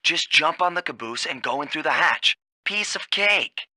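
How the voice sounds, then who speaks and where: Smooth-talking, dry-recorded cartoon thief. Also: male voice, a little high, animated, moderate pace, sharp tone, no room sound. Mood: cool, confident. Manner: wry, unflappable, casual. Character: suave, laid-back, wisecracking young male animal thief. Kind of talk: cartoon video game voice acting.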